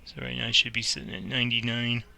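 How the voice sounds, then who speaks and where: A man's voice: medium pitch, steady tone, fast, creaky, sharp tone. A man playing air traffic controller in a simulator, giving procedural instructions and commenting on his own game. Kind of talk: simulator gameplay commentary and radio phraseology.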